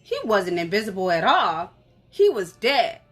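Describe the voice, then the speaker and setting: A woman reading a story aloud in an animated, conversational way, as if for children. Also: mid-range voice, measured pace, faint music behind. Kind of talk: expressive children's story narration.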